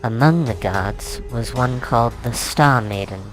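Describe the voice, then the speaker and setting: A man reading his own fantasy novel aloud as a dramatic, solemn, measured narration. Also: medium pitch, a bit bright, poor audio. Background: music soundtrack.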